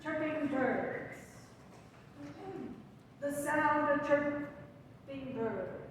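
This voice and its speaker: A woman's voice: high-pitched, extremely slow. A woman playing a gushing press correspondent in a radio comedy, drawn out, flowery and mock-grave. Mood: gushing, stalling. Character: breathless gushing press reporter, stretching out flowery scene-setting. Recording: very echoey hall, distant, faint noise.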